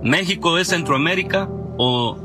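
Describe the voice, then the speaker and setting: A man's voice: fairly high pitch, measured pace. A man from Guatemala giving an earnest, preachy video message. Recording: played clip over music.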